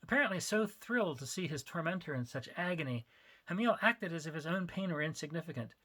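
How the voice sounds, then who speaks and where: A man narrating his own story as an author, in an expressive, emphatic dramatic reading style. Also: a little high, fast.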